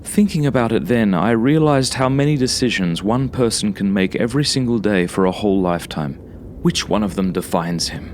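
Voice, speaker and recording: A man reading a first-person horror story as a narrator, in a measured, dramatic manner. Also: fairly low pitch, lively intonation, darkish tone, slight background noise.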